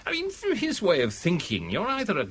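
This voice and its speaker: Very animated, urbane British adviser. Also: male voice, high voice, slightly rough, full character voice. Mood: dry, probing. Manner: deliberate, rhetorical, pedantically precise phrasing. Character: shrewd, urbane adviser, detached and cautionary. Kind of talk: 1970s British radio drama acting.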